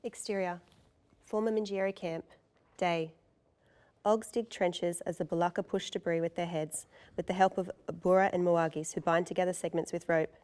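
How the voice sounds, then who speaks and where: A woman's voice: lowish voice, steady tone, moderate pace, darkish tone, clean tone. A woman reading scripted stage directions as the narrator of a table read.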